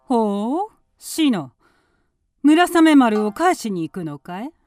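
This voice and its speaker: Spiteful middle-aged aunt, swinging intonation. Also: female voice, fairly high pitch, sing-song, slow, darkish tone, soft background music. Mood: sly, dismissive. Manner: haughty, sneering, commanding. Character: spiteful, suspicious aunt and mistress of a village household. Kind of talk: period radio drama acting.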